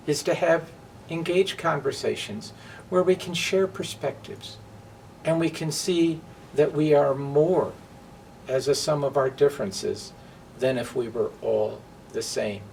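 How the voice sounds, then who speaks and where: A man recorded at a distance from the mic over faint noise, speaking as a storytime host. His voice is creaky and he takes his time, addressing viewers in an earnest, emphatic way. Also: mid-range voice, slow, long pauses, a little mellow. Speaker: storybook reader. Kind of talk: read-aloud storytime and personal address to viewers.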